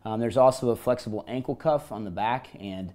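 A man in his thirties with a hoarse voice, hosting a casual gear review vlog from a hotel room and balcony. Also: mid-range voice, a little fast. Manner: energetic, talks with his hands. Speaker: host.

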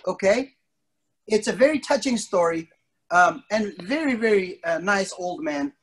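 Middle-aged man, rather high pitch, kids' instructor, playful storytelling, theatrical delivery, drawn-out emphasis, pauses. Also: expressive, breathy edge. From an island country. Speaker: martial arts instructor telling stories to children. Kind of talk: playful interactive storytelling for kids. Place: indoor wood-panelled studio, on a video call.

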